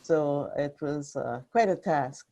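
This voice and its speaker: A woman on a family video call, telling family history in a slow, halting way. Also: deep voice, gravelly, dark tone, lo-fi sound.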